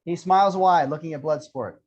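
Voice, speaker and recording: A man's voice: on the high side, brisk, very noisy. A man reading screenplay action lines as scripted narration at an amateur table read. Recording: dull lo-fi sound.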